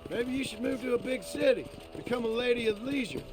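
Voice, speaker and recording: Reformed outlaw cowboy in a western game; dry drawl, slow lines, voice sits high for a man. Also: steady tone, over loud music, full character voice. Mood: wry. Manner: laconic, wry, drawling. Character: rugged reformed outlaw cowboy, wry and laconic. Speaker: game character. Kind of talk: video game voice acting. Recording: game audio with loud background noise. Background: loud noise, hoofbeats, clicks.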